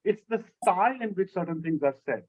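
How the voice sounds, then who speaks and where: Man teaching, slightly high voice. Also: a little fast, phone-quality sound. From Lahore, Pakistan. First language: Urdu. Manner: explanatory, steady, repeats key phrases. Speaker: instructor. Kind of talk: answering a student's question in an online class.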